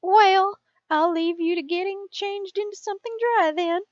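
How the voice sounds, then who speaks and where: A woman's voice: very high voice, moderate pace, no room sound, pitched above the performer's own voice. A woman reading the lines of a mild, matter-of-fact young man in a story, on a muffled recording. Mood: distracted, awkward. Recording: dull, muffled lo-fi sound.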